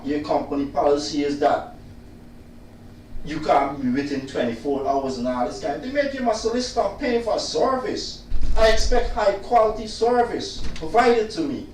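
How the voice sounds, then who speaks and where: A man complaining in a loud, insistent, repetitive way about the poor service from his solicitor, talking casually. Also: sits high, heavy reverb, recorded from a distance, a little hiss or hum. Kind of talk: casual venting monologue.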